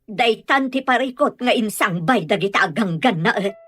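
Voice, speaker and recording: A woman playing a worried, cautious village mother with a husky voice, in a Filipino radio drama. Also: medium pitch, lively intonation, moderate pace, a bit bright, lightly acted.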